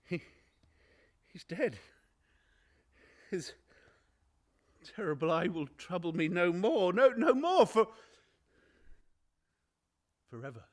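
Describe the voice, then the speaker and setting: An actor playing a mad, obsessive, boastful murderer who narrates a radio play in a halting, theatrical way, moving between whispers and shouts. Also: male voice, high-pitched, sing-song, heavily acted. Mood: shaken relief. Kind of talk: dramatic radio play monologue. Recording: live-recorded radio play.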